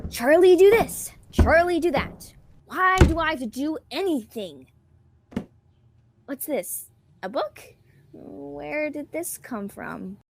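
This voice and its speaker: Casting: young-sounding woman as a whiny schoolkid, high voice, easily flustered, unhurried delivery, radio drama. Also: noisy background, heavily acted. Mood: whiny, defiant, then startled, baffled. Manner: whiny, exasperated, stammers when flustered. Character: whiny, exasperated schoolkid Charlie. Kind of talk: student radio theatre acting.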